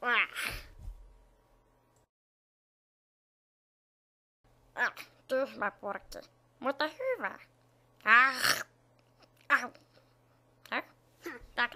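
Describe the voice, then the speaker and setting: Cartoon fandub: woman as small grumpy alien, high and sharp, grunting, mischievous. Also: expressive, pauses often. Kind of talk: amateur Finnish cartoon fandub.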